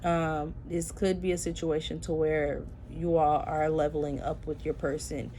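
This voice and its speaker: A woman's voice: low voice, flat delivery, moderate pace, warm tone, a little hiss or hum. A tired, sleepy-sounding woman giving a chatty tarot reading and talking straight to her viewers, with plenty of fillers. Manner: chatty, addresses viewers as y'all, many fillers. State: sleepy, tired early morning. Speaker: tarot reader.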